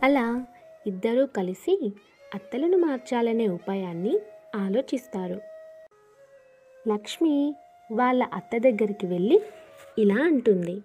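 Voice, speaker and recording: A woman's voice: on the high side, lively intonation, a little slow, pauses often, dark tone, soft background music. A woman reading scripted narration for a cartoon moral story.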